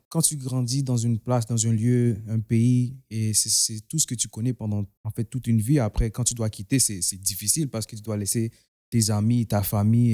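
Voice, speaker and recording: Young man from Togo, raised in the US, soft-spoken interview guest, dark and dry. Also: medium pitch, no room sound. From Togo, raised in the United States. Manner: soft-spoken, trailing off, many repeated words. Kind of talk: personal testimony interview. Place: studio.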